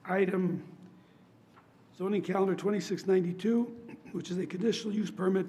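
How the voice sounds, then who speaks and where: A man chairing a public commission meeting, hoarse from laryngitis, running votes in a steady, polite, procedural way. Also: high voice, fairly quick. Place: council chamber.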